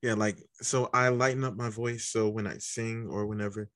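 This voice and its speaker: A man hosting a podcast in relaxed, casual conversation, with a very deep voice and easy laughter. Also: no echo, studio quality. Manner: relaxed, laughs easily, halting restarts. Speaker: podcast host.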